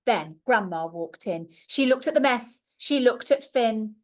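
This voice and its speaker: A woman's voice: a little high, animated, a little slow. A woman reading a storybook aloud to young children like a primary teacher, expressively and with little asides to her listeners.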